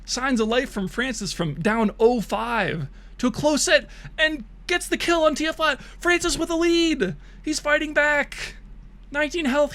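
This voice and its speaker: A man's voice: extremely high pitch, a bit bright. A man streaming and giving hyped mock esports play-by-play of bot matches, laughing and joking crudely, his voice wearing thin. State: losing his voice.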